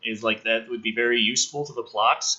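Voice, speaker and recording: A man's voice: mid-range voice, fairly even intonation, fast talker, bright, forceful tone, breathy edge, lo-fi sound. A man reading a script aloud with theatrical emphasis and incredulous, profane, exasperated commentary, as the host of a review show.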